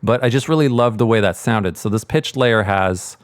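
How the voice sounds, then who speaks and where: A man's voice: on the low side, moderate pace, no room sound. A middle-aged man explaining sound mixing in an informal tutorial, thinking aloud as he goes. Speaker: sound mixer giving a tutorial. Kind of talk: casual tutorial walkthrough.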